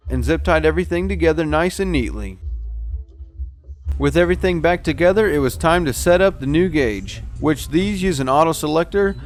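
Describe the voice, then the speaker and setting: A man narrating a step-by-step boat repair how-to as the show's technician host. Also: fairly high pitch. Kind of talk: explanatory how-to voice-over narration.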